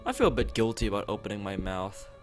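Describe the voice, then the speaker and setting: A man's voice: lowish voice, big pitch swings, fast talker. A man hosting a game playthrough, reading visual novel narration aloud in an informal way and sometimes stumbling over lines and rereading them. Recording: music playing behind the voice. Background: plucked guitar game music.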